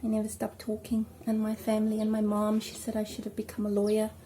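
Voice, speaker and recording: A South African woman chatting casually at home while doing her hair, rambling and filling pauses with ums. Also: medium pitch, monotone, warm tone, slight background noise. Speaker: vlogger. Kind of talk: casual hair tutorial chat.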